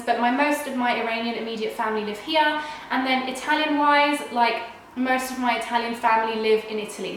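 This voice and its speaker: A woman's voice: a little high, fairly bright tone. A young woman vlogging from home while ill, nasal and rambling, with lots of "like" and restarts. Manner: rambling and chatty, full of "like" and restarts. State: unwell with a cold. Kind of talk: casual chat to camera. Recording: very echoey room.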